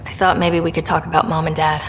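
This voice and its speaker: A woman's voice: deep voice, flat delivery, fast talker, close to an everyday voice. A woman playing an earnest, caring young explorer in a sci-fi video game, talking in a subdued, conversational way. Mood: gentle. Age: young adult. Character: earnest, caring young female space explorer, twin sister. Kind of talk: naturalistic video game dialogue acting. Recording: reverberant room, faint ambient noise.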